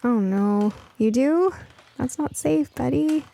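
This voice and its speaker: A woman's voice: high-pitched, takes it slowly, vocal fry, mellow, pitched above the performer's own voice, exaggerated voice. A woman voicing a curious, science-minded young girl in an audiobook, chatty, earnest and eager. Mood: alarmed.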